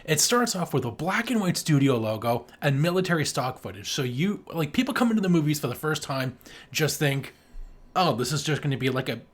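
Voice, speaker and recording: A man's voice: fairly high pitch, sing-song, a little fast, breathy edge. A man co-hosting a casual film review podcast, talking in a gushing, emphatic, sweary way. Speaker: podcast co-host.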